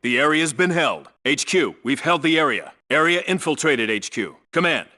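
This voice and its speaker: A man's voice: a little high, expressive, normal speed, pushed and bright. A man voicing a tough, disciplined special forces squad leader in a military video game, clipped and authoritative. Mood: crisp, businesslike. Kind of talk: military video game voice lines, clipped radio reports and orders.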